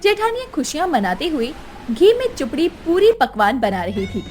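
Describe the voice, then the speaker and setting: A woman's voice: high-pitched, sing-song, normal speed, darkish tone, a little hiss or hum. A woman narrating a scripted moral tale for a cartoon in a dramatic storytelling style. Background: clear music.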